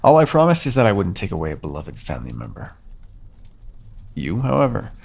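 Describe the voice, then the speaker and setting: A brooding male vampire, acted out by a man, speaks in a deep, husky voice with a gravelly creak. The delivery is theatrical yet intimate, in the style of ASMR roleplay. Each phrase is measured, remorseful and quietly menacing. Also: wildly swooping pitch, exaggerated voice. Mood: menacing, possessive. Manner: slow, deliberate, theatrical, intimate. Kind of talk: ASMR roleplay monologue, scripted dramatic narration.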